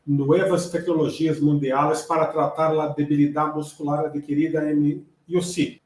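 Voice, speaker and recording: A man reads a formal welcome as host, slow and measured. His voice is fairly high for a man, and the room around him is echoey. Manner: formal, read from a script. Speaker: host, company director. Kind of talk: scripted webinar introduction.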